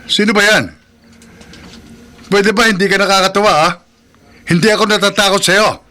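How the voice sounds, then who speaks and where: An actor with a Filipino accent playing a nervous, eager-to-please taxi driver husband who stammers when uneasy, in a horror radio drama. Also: male voice, sits high, normal speed, sharp tone. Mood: frightened, defiant.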